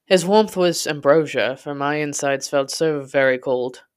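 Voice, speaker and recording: A woman's voice: deep voice, unhurried. A woman reading a scripted first-person story in a literary, dramatic storytelling manner. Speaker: podcast host and narrator.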